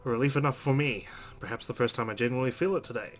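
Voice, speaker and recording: A man with a mid-pitched voice reads a visual novel aloud as a let's play host. His delivery is expressive storytelling mixed with asides, recorded with faint noise and a low rumble. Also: very fast.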